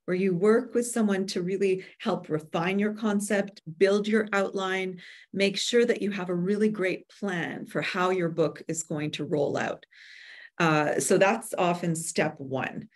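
A woman in her forties speaking as an expert guest on a video-call webinar, explaining things in a measured, instructive way. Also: mid-range voice, steady tone. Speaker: guest expert, book publisher. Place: indoor room with bookshelves, on a video call. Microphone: video call microphone.

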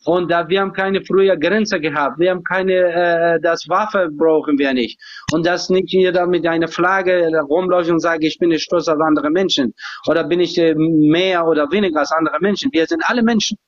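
A high-pitched man pleads for tolerance in halting, broken German, stumbling and restarting as he goes. His voice sounds dry and dull, coming through a phone on a live video call. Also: steady tone, no room sound. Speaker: guest in a live debate. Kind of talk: casual debate.

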